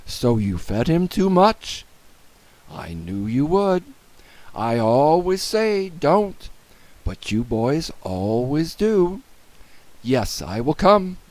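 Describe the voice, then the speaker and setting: A man's voice: fairly high pitch, sing-song, slow pace, darkish tone, dry recording. Guy doing a resigned older-brotherly scolding man from a kids book, takes his time, knowing tone. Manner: knowing, mildly chiding. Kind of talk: children's storybook read aloud.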